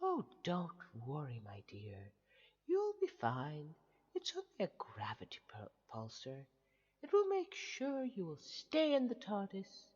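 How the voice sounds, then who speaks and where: Flamboyant scheming male villain, acted by a man, slow grand delivery, hugely animated, mock-affectionate, audio drama. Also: high-pitched, dull audio, heavily acted. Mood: mock-soothing. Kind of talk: science fiction audio drama acting. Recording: echoey long reverb with music behind.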